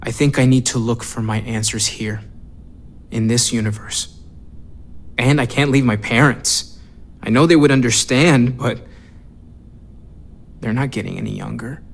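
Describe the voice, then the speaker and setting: A man playing a friendly, gentle and playful companion in a video game. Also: mid-range voice, measured pace, creaky, long pauses. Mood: fond, playful. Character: friendly, gentle, playful believer, devoted son.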